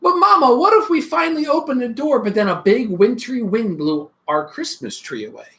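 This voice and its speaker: A storybook reader voicing an excitable, worried little child who pleads with questions. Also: medium pitch, voice pushed up. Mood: eager, worried. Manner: pleading, rapid what-if questions.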